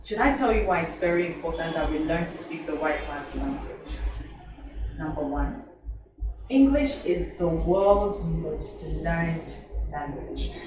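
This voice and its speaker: A woman's voice: deep voice, lively intonation, measured pace, noisy background. A woman, an elocution teacher, giving a talk to teenagers, asking them questions and repeating herself for emphasis. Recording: roomy hall from a distance, music behind.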